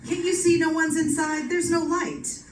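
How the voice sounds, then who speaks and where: A woman playing a gruff, sarcastic, stubborn male homicide detective in old-time radio drama, with slangy tough-cop talk. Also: extremely high pitch, level tone, normal speed. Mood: impatient. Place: live stage performance, mic at a distance. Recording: distant mic in echoey, noisy room.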